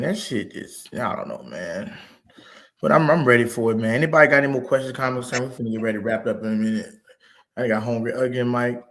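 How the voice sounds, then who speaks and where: A man hosting a casual late-night livestream from home on a laptop mic, laid-back, profane and slangy. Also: medium pitch. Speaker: stream host.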